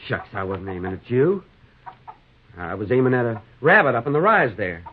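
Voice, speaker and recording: A man's voice: medium pitch, very animated, moderate pace, slight background noise, lightly acted. A man acting a surly, defensive young homesteader with a rural American accent and a curt, slangy way of talking in a 1950s radio western. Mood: sullen, evasive. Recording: tinny, narrow 1950s radio recording.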